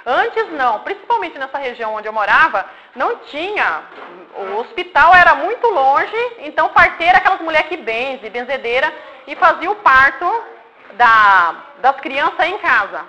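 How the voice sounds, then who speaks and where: A woman in her forties from Paraná speaks to a group of children about her life. She talks simply and keeps checking that they follow. Her voice sits high and sounds bright, with faint noise behind her. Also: very animated, normal speed, low-quality recording. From Paraná, Brazil. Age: 40s. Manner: simple explanations with frequent checks like "tá?" and "né?". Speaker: community member telling her life story to children. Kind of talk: informal talk with questions from an audience.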